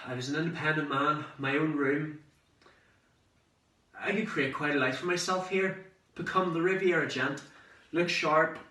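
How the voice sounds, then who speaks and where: Man acting excitable young storyteller, bright and echoey. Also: a little high. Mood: eager, dreamy. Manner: dramatic, conversational storytelling with swearing and pauses. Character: cheeky, excitable young man recounting summer abroad. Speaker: amateur actor performing a monologue. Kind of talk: theatrical stage monologue. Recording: roomy echo, distant microphone.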